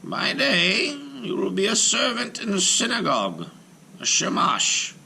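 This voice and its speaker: Guy doing a high urgent old rabbi, solemn, bossy, storybook voice. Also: wildly swooping pitch, a little slow, gravelly, bright, forceful tone, a little hiss or hum, full character voice. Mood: commanding. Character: elderly male rabbi, commanding and solemn.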